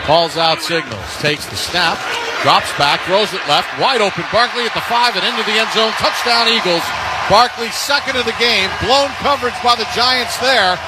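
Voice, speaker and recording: A man's voice: sits high, normal speed, over a noisy crowd. A man calling live football on the radio in emphatic, clipped phrases. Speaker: radio play-by-play football announcer. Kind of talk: live sports commentary. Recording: noisy live stadium radio broadcast. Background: loud stadium ambience.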